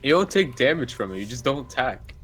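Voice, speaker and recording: A man's voice: medium pitch, sing-song, a little fast, over loud music. A man taking part in a tabletop roleplaying game as a player, chatting casually and playfully.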